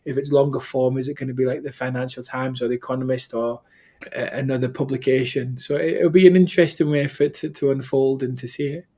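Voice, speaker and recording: A man from the UK hosting a conversation over a video call, his voice sitting right in the middle of the usual pitch for a man. He talks in a rambling, anecdotal way, circling back and restarting his sentences. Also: little pitch movement, fast, dark tone. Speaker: interview host. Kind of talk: interview conversation.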